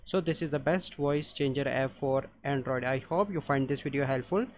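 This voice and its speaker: A man's voice: mid-range voice, moderate pace. Guy doing a how-to app voiceover, step by step, kinda muffled lo-fi mic w a bit of noise. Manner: simple step-by-step instructions. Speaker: tutorial host. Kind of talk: how-to app tutorial voice-over. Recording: dull lo-fi audio, noisy background.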